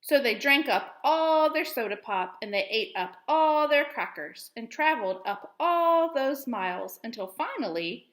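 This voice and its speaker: Female schoolteacher for children's story reading: highish voice, expressive phrasing, roomy echoing sound. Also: a little slow, hardly any pauses. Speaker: teacher reading aloud to pupils. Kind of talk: storybook read-aloud for children.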